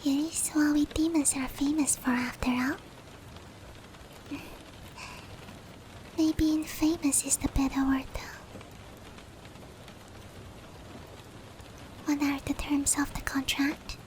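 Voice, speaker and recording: A woman voicing a gentle, protective female demon in a soothing, breathy whispered ASMR roleplay, slow and comforting. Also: high-pitched, flat delivery, slight background noise, close to an everyday voice. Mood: gently explaining. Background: rain.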